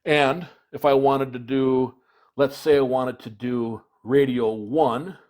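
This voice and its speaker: A man presents a hands-on product demonstration in a casual way. His voice sits at an average pitch, and he explains each step in plain, practical words. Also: steady tone, slow, a little mellow. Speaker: product reviewer, video presenter.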